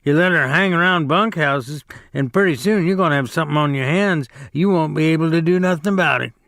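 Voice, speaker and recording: A man voicing a rough ranch hand who taunts with contempt. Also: on the high side, brisk, a bit bright, no echo, clean studio sound, voice pushed up. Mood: scornful. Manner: sneering, contemptuous.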